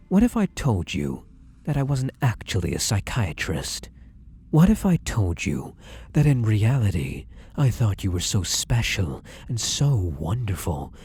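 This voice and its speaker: Man acting a possessive, low-voiced, lovestruck fake psychiatrist. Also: slightly rough, exaggerated voice. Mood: tender, confessing. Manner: soft, slow coaxing murmur slipping into whispers. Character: possessive, obsessively loving male fake psychiatrist, tender stalker. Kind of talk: ASMR roleplay.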